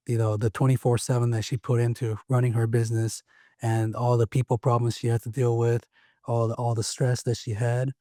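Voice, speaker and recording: A man from Taiwan, an attorney, telling his story as an interview guest in a measured way. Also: medium pitch, mellow, no echo, clean studio sound. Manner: measured, with frequent "you know" fillers. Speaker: attorney and law firm owner.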